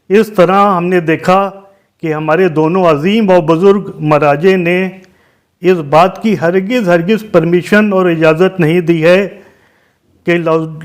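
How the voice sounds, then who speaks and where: High-pitched man, Lucknow Urdu accent. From Lucknow, Uttar Pradesh, India. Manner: deliberate, emphatic repetition, explains with synonyms. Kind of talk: religious guidance talk.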